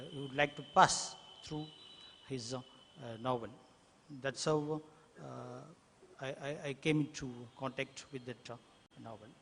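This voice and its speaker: Halting male translator in a reverberant hall. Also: on the high side, fairly bright tone. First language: Tamil. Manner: halting, many fillers and restarts. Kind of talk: hesitant panel remarks. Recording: long hall reverb, faint ambient noise.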